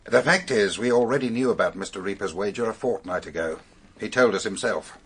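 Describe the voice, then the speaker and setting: A middle-aged actor with an English accent playing a sober, matter-of-fact male police detective in a radio drama, measured and authoritative. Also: on the low side, a bit bright, slightly rough. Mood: grave.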